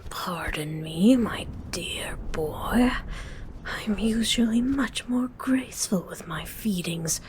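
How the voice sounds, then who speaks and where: A woman's voice: medium pitch, expressive, slow pace, slight background noise. A woman playing a seductive predatory vampire in a whispered ASMR roleplay, speaking formally and breathily with teasing menace. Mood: coaxing, hungry. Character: seductive female vampire predator stalking and feeding on prey.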